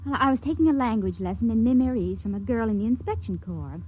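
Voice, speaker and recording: An actress playing a young woman stowaway, naive and trusting, speaking softly in a 1950s radio drama. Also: fairly high pitch, lively intonation, quick pace, slightly rough. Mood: uneasy. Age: young adult. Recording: dull old radio recording with faint hiss.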